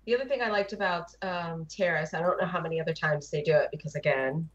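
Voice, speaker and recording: Slightly muffled lo-fi audio of a woman chatting as a panelist on a gaming show. Her voice is a little low for a woman, and she talks informally, acting out her own reactions. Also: fast. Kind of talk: casual gaming chat. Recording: dull lo-fi voice chat audio.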